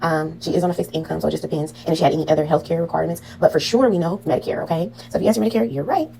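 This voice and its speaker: A woman's voice: sits low, very fast, creaky, mellow, a little hiss or hum, rough recording. A woman teaching a casual online class on medical billing, full of "um"s and "okay?" checks to her students. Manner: chatty, frequent "um" and "okay?" check-ins.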